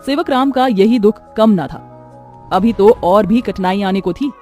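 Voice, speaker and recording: A woman's voice: medium pitch, unhurried, dark tone, soft background music. A woman narrating a scripted moral bedtime story as a dramatised storyteller.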